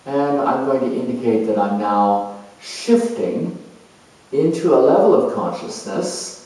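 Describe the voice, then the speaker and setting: Casting: male lecturer, unhurried and deliberate, explaining with long pauses, heard from a distance in a reverberant space. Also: mid-range voice, expressive, dark tone. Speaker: astrologer, presenter. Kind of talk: measured explanatory lecture introducing a performance. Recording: very echoey room, distant mic.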